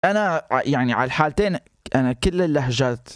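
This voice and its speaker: A man's voice: medium pitch, expressive, fast talker, no echo, studio quality. A man from Syria with a Damascene accent hosting a casual reaction video, laughing often and mixing English with Arabic. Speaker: host reacting to clips.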